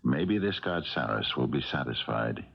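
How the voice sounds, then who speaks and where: Very deep, gravelly old retired cop. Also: male voice, animated, exaggerated voice. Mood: grave, hesitant. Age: older. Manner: halting, hesitant delivery with repeated pauses. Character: retired old policeman, grave, hesitant, earnest. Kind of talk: 1970s radio drama acting. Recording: dull vintage radio recording with music.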